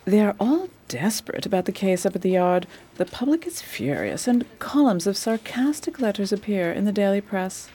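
A woman's voice: medium pitch, big pitch swings, normal speed, few pauses, mellow, breathy edge. A woman playing an eager, commanding lady detective in a radio drama, refined and purposeful. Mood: excited, determined. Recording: faint background noise.

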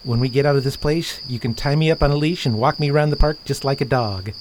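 A woman's voice: rumbling low, fast, background noise. A woman playing a wry, guarded, protective war veteran turned detective in an audio drama. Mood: tender.

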